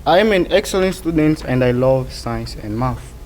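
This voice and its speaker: A teenage young man from Angola, a native Portuguese speaker with an Angolan Portuguese accent, giving a prepared personal speech in careful, halting English. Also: mid-range voice, lively intonation, a little mellow, slight background noise. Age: teens. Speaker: student award presenter.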